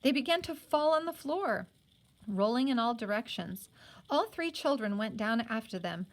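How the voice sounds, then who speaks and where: Woman teacher reading a kids novel out loud, voice a bit high, expressive storytelling. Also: normal speed. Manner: acts out the characters' speech. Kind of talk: expressive storybook read-aloud.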